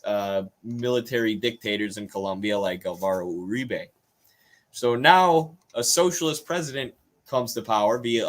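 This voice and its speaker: An American man presents informal news commentary as a livestream host. His voice sits at an average male pitch. He explains things earnestly, sometimes halting mid-phrase and sometimes reading aloud. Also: fairly bright tone.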